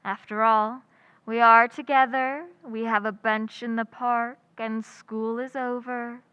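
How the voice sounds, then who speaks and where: A woman's voice: fairly high pitch, little pitch movement, slow, smooth, lo-fi sound. A woman playing a wry, romantically hopeful schoolteacher in an old-time radio comedy, with an American accent. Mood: wry, coaxing. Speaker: actor in community playhouse radio recreation.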